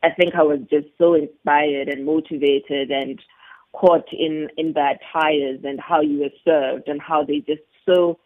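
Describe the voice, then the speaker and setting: A woman's voice: low voice, steady tone, measured pace, dry recording. A woman from Johannesburg, chatting upbeat as a guest on a radio show. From Johannesburg, South Africa. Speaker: guest, business founder. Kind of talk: casual phone interview. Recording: tinny phone line.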